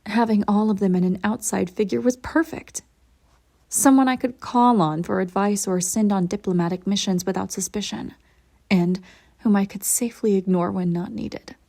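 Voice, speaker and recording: A woman playing a centuries-old evil empress in an intimate audio roleplay, speaking formally and deliberately, cold and smugly possessive, sometimes dropping toward a whisper. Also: medium pitch, mellow. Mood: confiding, imperious. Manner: formal, measured, arch diction with breathy near-whispered drops. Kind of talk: scripted audio roleplay monologue, intimate direct address.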